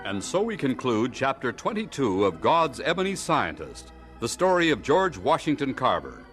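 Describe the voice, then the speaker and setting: A man's voice: mid-range voice, wildly swooping pitch, normal speed, a bit bright, faint music behind. A man announcing in a scripted radio drama style.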